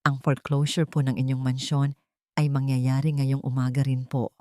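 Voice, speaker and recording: A woman voicing a calm, polite male lawyer delivering bad news in a formal tone. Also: rumbling low, normal speed, no echo, clean studio sound.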